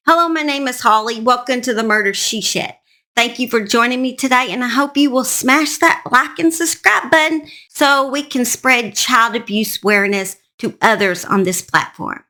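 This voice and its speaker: A woman's voice: sits high, moderate pace, bright, forceful tone. A woman with a Southern US accent, earnestly narrating true crime stories as an online host. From Southern United States. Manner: earnest, serious.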